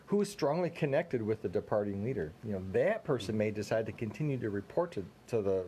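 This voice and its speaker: Middle-aged male co-host, low voice, informal and folksy business chat, noticeable background noise. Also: very animated, fairly quick, warm tone. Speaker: co-host of a business talk show. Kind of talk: casual scripted discussion with banter.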